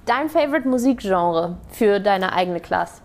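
A woman from Hamburg, running a casual quick-fire interview and affirming answers often. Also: mid-range voice, expressive. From Hamburg, Germany. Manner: upbeat, affirming with frequent "mhm" and "sehr gut".

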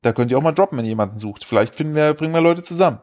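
A man's voice: on the high side, animated, rapid-fire, a little mellow. A man co-hosting a podcast, talking casually with frequent fillers and restarts. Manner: hesitant, many fillers and restarts. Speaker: podcast co-host.

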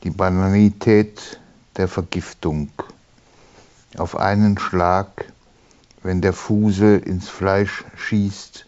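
A man reading his own poem in measured short phrases with pauses between them. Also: low-pitched, fairly even intonation, a little mellow. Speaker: poet reading own work. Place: small room, writing study. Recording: dull, muffled sound.

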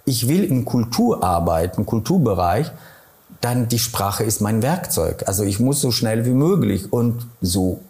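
A middle-aged man from Silesia with a Polish accent, answering interview questions thoughtfully and pausing often to find his words. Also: on the low side, lively intonation, darkish tone. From Poland, Silesia. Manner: thoughtful, searching for words, many hesitations.

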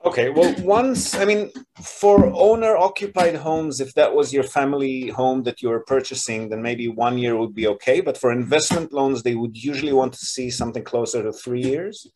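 A man at a middle pitch walks through property loans and purchase steps in a relaxed, explanatory way. He often pauses on "um" and "uh" and restarts his sentences as he goes. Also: a little hiss or hum, poor audio. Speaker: real estate advisor and podcast host. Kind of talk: business call recording, casual advisory.

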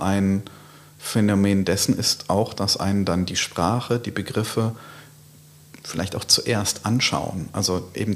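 A man speaking haltingly and deliberately in a reflective intellectual discussion, often pausing mid-phrase to find his wording. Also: low-pitched.

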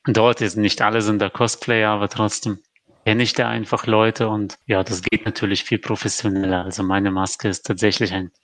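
A man from Berlin answers interview questions in a measured, modest way, pausing on small fillers. His voice sits somewhat low. The sound is dry and close. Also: little pitch movement, a bit bright, no room sound. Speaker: guest.

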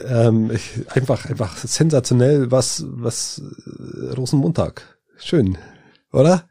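Man, stuffy with a cold, gravelly dark voice, husky, dry close mic, jokey podcast banter. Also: medium pitch, slow, no echo. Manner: jokey, hyperbolic, many fillers and restarts. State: congested with a cold. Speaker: podcast co-host.

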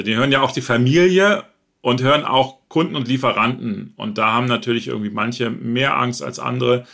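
Gravelly, bright-voiced chatty man. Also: medium pitch. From northern Germany. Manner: chatty, thinks aloud with fillers. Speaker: podcast host being interviewed. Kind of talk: relaxed conversational interview.

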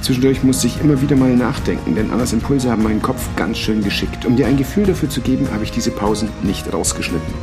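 A man calmly reading a podcast episode introduction, addressing the listener directly. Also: medium pitch, quick pace, hardly any pauses. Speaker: podcast host. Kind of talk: scripted intro narration. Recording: voice over guitar music.

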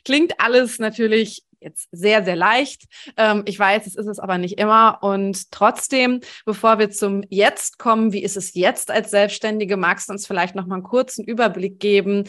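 A woman's voice: medium pitch, moderate pace, hardly any pauses, a bit bright. A woman in her thirties hosting a conversational podcast interview in an informal, enthusiastic way. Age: 30s. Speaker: podcast host.

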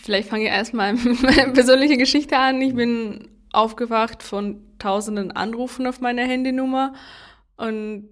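Woman guest, hesitant, slightly high voice. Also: steady tone, normal speed. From Ukraine. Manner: hesitant, with fillers. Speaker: doctoral researcher guest. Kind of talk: casual interview talk.

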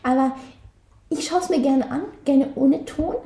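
High-voiced young Austrian woman, roomy sound. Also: a little slow, mellow, a little hiss or hum. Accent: Austrian German, light Carinthian. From Carinthia, Austria. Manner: chatty, laughing, many restarts. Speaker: interview guest. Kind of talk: casual interview chat.

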